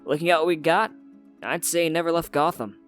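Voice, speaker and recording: A man's voice: a little high, brisk, a bit bright, close to an everyday voice. A man voicing a practical sidekick in a comic dub, giving a matter-of-fact briefing. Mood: businesslike. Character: practical male underworld intelligence sidekick. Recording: music behind the voice.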